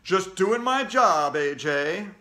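A man's voice: high-pitched, lively intonation, slow, bright, forceful tone, voice pushed up, heavily acted. A man voicing an earnest, self-important school security guard in a children's story, with a resolute, heroic delivery.

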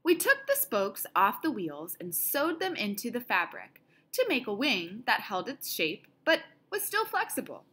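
A woman reading a children's picture book aloud in an expressive style, with deliberate, dramatic phrasing. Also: fairly high pitch, slightly rough. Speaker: storybook reader.

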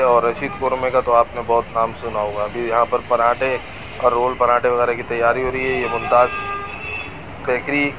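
Male vlogger, even and unhurried, recorded on a bike in a loud market street. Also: mid-range voice. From Pakistan. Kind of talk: street tour narration. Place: busy market street outdoors. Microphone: camera on a moving bike. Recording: noisy outdoor street recording. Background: traffic and crowd noise.

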